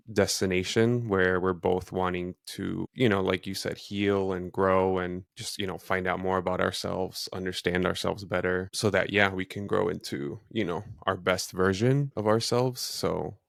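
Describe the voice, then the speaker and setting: A man's voice: low-pitched, little pitch movement, a little slow, vocal fry, no room sound, clean studio sound. A man in his thirties co-hosting a podcast, chatting informally and often adding "you know". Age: 30s. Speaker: podcast host. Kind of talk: casual conversational podcast chat.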